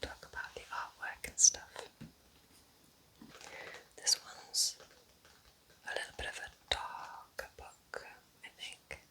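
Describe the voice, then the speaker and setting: A woman speaking in a soft, chatty, informal ASMR whisper, gently recommending things as a host in an unhurried way. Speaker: ASMR host reviewing books.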